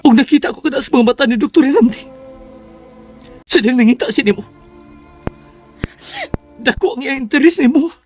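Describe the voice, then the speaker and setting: Jealous young man, urgent, confronting, hurt lover, radio drama, soft music behind. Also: very high-pitched, moderate pace, long pauses. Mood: insistent. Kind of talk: radio drama acting in Cebuano. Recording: music under the voice.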